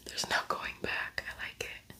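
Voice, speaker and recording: Whispering woman, ASMR style. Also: sharp tone. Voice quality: breathy, unvoiced whisper. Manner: chatty, rambling, thinking aloud. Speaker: ASMR creator and makeup tutorial host. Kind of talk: whispered ASMR makeup tutorial with casual chat.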